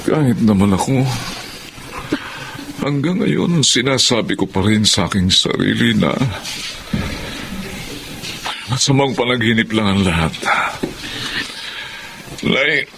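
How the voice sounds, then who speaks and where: A man's voice: on the low side, expressive, measured pace, creaky, long pauses, background noise. A man acting as an anxious, grieving middle-aged father with a Filipino accent in a radio drama.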